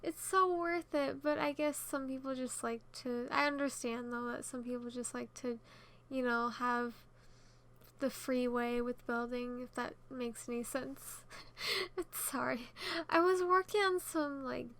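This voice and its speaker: A young woman of nineteen with a high voice talks casually over a gaming video. She rambles in a chatty, giggly way, often second-guessing herself and wandering off into side remarks. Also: measured pace, fairly bright tone. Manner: chatty, giggly, self-conscious and digressive. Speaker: YouTube gamer narrating a speed build.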